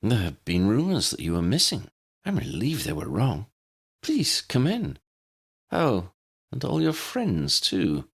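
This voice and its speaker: A man's voice: lowish voice, wildly swooping pitch, a little slow, vocal fry, breathy edge, voice pushed up, heavily acted. A man voicing a poised, courteous woman head teacher with a purring, gracious manner, in an audiobook story. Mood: silky, pleased.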